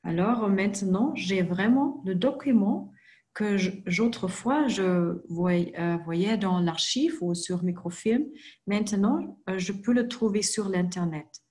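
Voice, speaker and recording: Slow, careful woman. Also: medium pitch, warm tone. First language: not French. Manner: slow, careful delivery with frequent hesitations. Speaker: presenter for a genealogy organization. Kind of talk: screen-share tutorial presentation.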